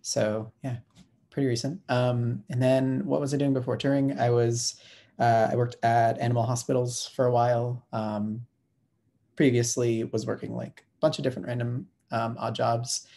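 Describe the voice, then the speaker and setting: A man's voice: mid-range voice, flat delivery, moderate pace, vocal fry, warm tone. A young man on a headset, dry and wry while introducing himself on a video call.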